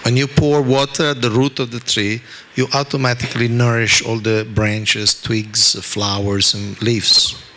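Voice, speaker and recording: A man from Italy with an Italian accent, giving a scripture class in an animated, self-deprecating way, often checking in with "okay?". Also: medium pitch, unhurried, a little hiss or hum. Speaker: spiritual teacher.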